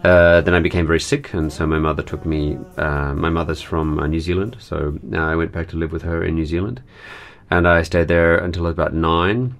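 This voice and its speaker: Man from Australia, very low voice, halting and unhurried, faint music behind. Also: fairly even intonation, gravelly. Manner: hesitant, many pauses and fillers. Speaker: children's theatre workshop leader. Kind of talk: casual personal account.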